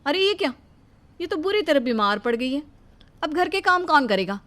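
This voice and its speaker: A woman plays a nagging daughter-in-law in a cartoon who scolds impatiently. The voice sits high. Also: very animated, moderate pace, a bit bright.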